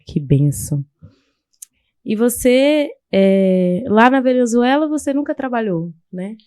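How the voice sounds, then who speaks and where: A woman's voice: fairly high pitch, animated, slow pace, warm tone, no room sound, studio quality. A woman hosting a church podcast, interviewing her guest and answering him often with words of praise. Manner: affirming, with frequent "glória a Deus". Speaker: podcast host.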